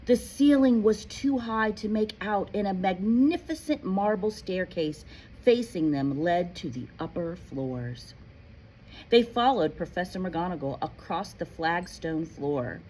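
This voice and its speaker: Lady teacher doing a read-aloud, really lively intonation, chatty with the kids, faint noise in the background. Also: lowish voice, rough recording. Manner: expressive, addresses listeners directly. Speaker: teacher reading aloud to pupils. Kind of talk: read-aloud storytelling with casual classroom chat.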